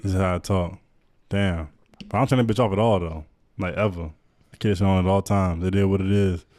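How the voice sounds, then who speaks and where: A man's voice: low voice, fairly even intonation, brisk, a little mellow. A man with a Southern US accent and Jamaican background, co-hosting a casual podcast chat, profane and joking, laughing often. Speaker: podcast co-host.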